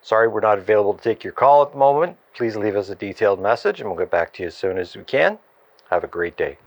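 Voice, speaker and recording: A man's voice: lowish voice, lively intonation, fast, dry recording. A man voicing a courteous, formal company voicemail greeting. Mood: polite, businesslike.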